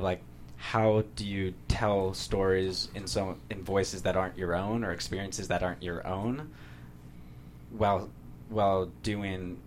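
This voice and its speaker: A man's voice: deep voice, a little hiss or hum. An American man, a writer being interviewed, explaining his thinking casually and thoughtfully with some hedging. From San Diego, USA.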